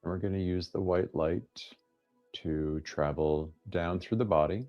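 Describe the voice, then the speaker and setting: A deep-voiced man guides a meditation over background music. He speaks very slowly, in gently inviting phrases broken by long pauses. He sounds like a teacher giving soft instruction. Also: highs cut off.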